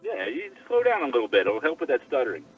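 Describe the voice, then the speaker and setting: A man's voice: on the high side, huge pitch swings, fast, creaky. An older man chatting casually and giving advice in a blunt, coaxing manner. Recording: tinny phone-like line, distant, music behind.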